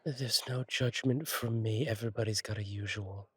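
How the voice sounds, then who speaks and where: A man plays a fallen-angel bartender with a lowish, husky voice, recorded close and dry. He chats to a regular in an intimate roleplay style, reassuring and attentive. His humour is gentle and wry, and his wording turns slightly formal. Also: fairly even intonation, normal speed, no room sound. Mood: welcoming, reassuring. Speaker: bartender character in audio roleplay. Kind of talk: intimate one-sided roleplay audio acting.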